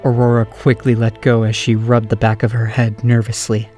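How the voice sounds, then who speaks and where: Storybook narrator, mellow tone, mid-pitched, scripted audio drama prose, music behind. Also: monotone, moderate pace.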